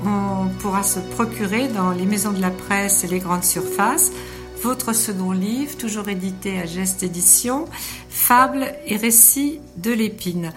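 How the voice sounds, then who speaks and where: A woman's voice: medium pitch, measured pace. A woman hosting a local radio show, introducing her guest and asking prepared questions. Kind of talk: radio interview. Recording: radio studio with music behind.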